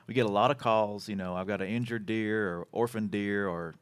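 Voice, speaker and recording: A man's voice: lowish voice, steady tone, brisk, clean tone, no echo. A man hosting a casual radio and podcast chat in a folksy, plain-spoken, informal way. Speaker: show host.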